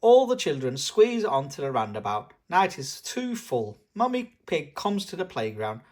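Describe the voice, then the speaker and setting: Very animated children's storybook reader. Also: mid-range voice, normal speed. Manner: expressive, addressing young children. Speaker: storybook channel host. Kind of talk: children's read-aloud narration.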